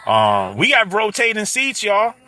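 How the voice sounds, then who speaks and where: A man's voice: high-pitched, wildly swooping pitch, a little slow, sharp tone, far from the mic. A man hosting a casual live panel stream, talking in a loose, rambling way and leaning on "you know what I'm saying".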